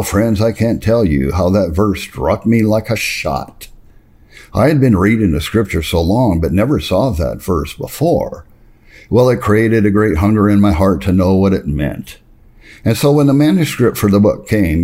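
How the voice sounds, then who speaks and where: A man reading a religious history memoir aloud, breaking off for spoken asides, in an earnest, emphatic storytelling way with dramatic pauses. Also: on the low side, mellow. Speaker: reader and narrator of religious history.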